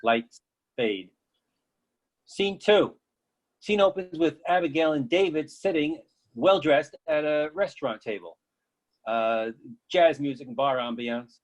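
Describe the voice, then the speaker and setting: A man's voice: a little high, measured pace, pauses often, poor audio. A man reading stage directions as scripted narration for a play.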